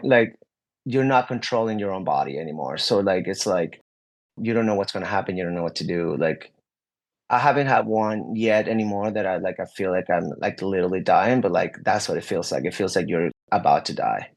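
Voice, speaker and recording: A man's voice: sits low, a little fast. A young adult man, a guest musician, answering interview questions openly in a rambling way, full of "like" fillers and restarts.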